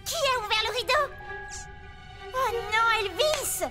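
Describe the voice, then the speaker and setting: A woman's voice: extremely high pitch, expressive, measured pace, music in the background. A woman voicing a nervous, sensible young child in a French cartoon, hesitant and questioning. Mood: alarmed.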